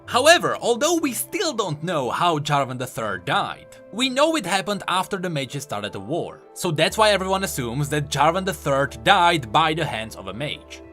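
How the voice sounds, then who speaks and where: A man's voice: a little high, huge pitch swings, normal speed, hardly any pauses, a bit bright. A man hosting a video game lore explainer, narrating from a script with emphatic, dramatic storytelling delivery. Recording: music bed under voice-over. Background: background music.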